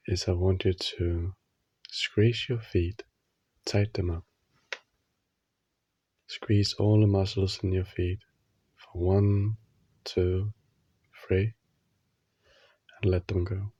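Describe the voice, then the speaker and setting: Slow, low-voiced man guiding sleep meditation. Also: flat delivery, dark tone, slightly rough, dry recording, muffled recording. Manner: slow, soothing, instructional, with long pauses between short phrases. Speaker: guided meditation leader.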